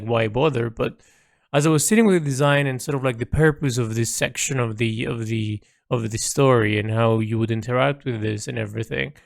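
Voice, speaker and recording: Gravelly-voiced man thinking aloud. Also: medium pitch, no echo, clean studio sound. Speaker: illustrator keeping an audio log. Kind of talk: reflective unscripted audio diary.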